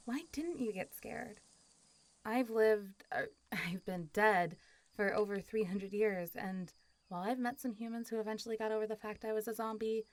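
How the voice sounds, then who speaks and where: A woman's voice: mid-range voice, close to an everyday voice. A woman playing a friendly, teasing, centuries-old zombie girl in an intimate roleplay audio drama, talking in a casual, playful way. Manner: casual, playful, teasing, often laughing and sighing.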